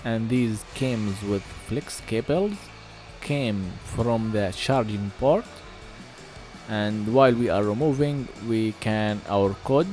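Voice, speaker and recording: A man giving an informal voice-over for a phone repair, speaking halting, non-native English. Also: fairly low pitch, darkish tone, faint music behind. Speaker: phone repair video host.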